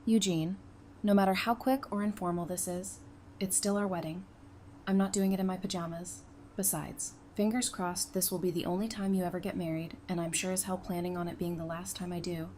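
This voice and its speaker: A woman's voice: on the low side, steady tone, fairly quick, a little mellow, a little hiss or hum, close to an everyday voice. A woman playing a feisty, affectionate, independent bride in an audio drama, speaking in a casual, frank, teasing way. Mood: exasperated, firm.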